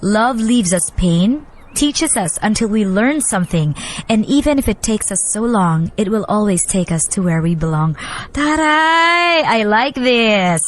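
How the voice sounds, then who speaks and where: A woman with a Filipino accent hosting radio, playful, expressive and slangy, mixing in English as she chats and reads out listener messages. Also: mid-range voice, slow pace. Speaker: radio host. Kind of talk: lively radio talk, reading listener messages and giving commentary. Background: music.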